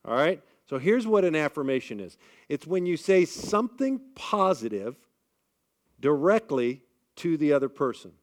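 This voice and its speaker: A man from the US Midwest with a big booming voice, leading an audience through an icebreaker at a live event and checking in with "all right?" as he goes. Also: normal speed. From Midwestern United States. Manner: energetic, upbeat crowd-rallying with frequent "all right?" checks. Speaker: event speaker leading the group. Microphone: amplified on a microphone.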